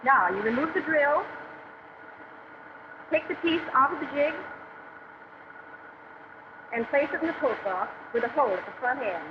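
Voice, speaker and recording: A muffled 1940s training film soundtrack with clear noise carries a high-pitched young woman learning factory work. She is eager and attentive, speaking in careful, deliberate steps. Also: lively intonation. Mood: careful, reciting. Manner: careful, deliberate step-by-step recitation. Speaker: trainee drill press operator.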